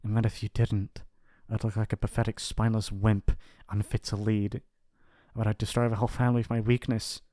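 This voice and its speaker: A man's voice: on the low side, level tone, fairly quick, dark tone, no room sound, studio quality, lightly acted. A man playing a shy, apologetic young mafia boss's son in an intimate ASMR roleplay, with a hesitant, rambling, awkward delivery. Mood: reluctant, earnest.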